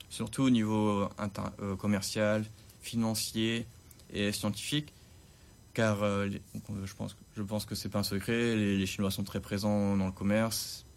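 A young man in his twenties, a student, talking through his reasons at a measured pace and stumbling on a few words. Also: on the low side. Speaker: student, interviewee. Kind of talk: prepared testimony.

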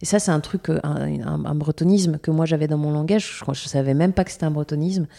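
A woman's voice: low-pitched, quick pace, vocal fry, warm tone. A young woman from Brittany, a singer, answering interview questions with many hesitations and restarts.

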